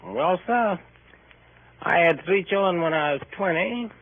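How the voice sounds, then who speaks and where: An easygoing Southern servant in a vintage radio comedy. His high voice is full of gravel, and he muses in a folksy, respectful way. The sound is narrow and tinny, like an old broadcast. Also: measured pace, a little hiss or hum. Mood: musing. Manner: folksy, deferential, musing.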